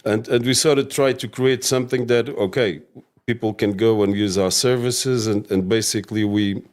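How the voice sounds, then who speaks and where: A man's voice: lowish voice, fairly even intonation, normal speed. A man with a Portuguese-Australian accent, rambling casually as an interview guest and often asking "right?". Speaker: real estate guest.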